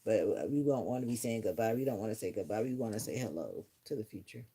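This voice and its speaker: A woman's voice: rumbling low, animated, quick pace, mellow, husky. A middle-aged woman chatting casually on a live stream, musing earnestly to her viewers in a reflective, rambling way. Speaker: livestreamer.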